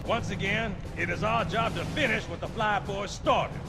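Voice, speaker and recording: High-pitched barking Marine sergeant. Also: male voice, moderate pace, creaky. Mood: fierce, rousing. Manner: barking drill-sergeant delivery. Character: hard-bitten male Marine sergeant, brash, rousing, profane. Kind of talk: military game cutscene acting. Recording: loud music behind.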